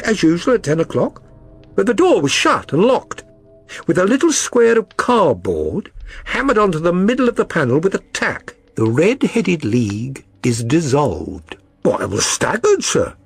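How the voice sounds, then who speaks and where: Guy doing an aggrieved middle-aged shopkeeper type, rambling and deferential, husky, pitch all over the place. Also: no echo, full character voice. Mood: aggrieved, bewildered. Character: middle-aged tradesman client, earnest, plodding, aggrieved, deferential. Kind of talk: audiobook character reading.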